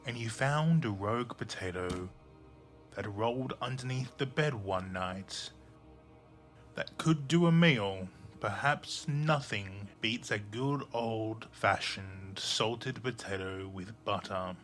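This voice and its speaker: Slow-paced male storyteller over faint music. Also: fairly low pitch, fairly bright tone. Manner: deliberate, short phrases with pauses. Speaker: narrator. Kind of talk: scripted second-person audio drama narration.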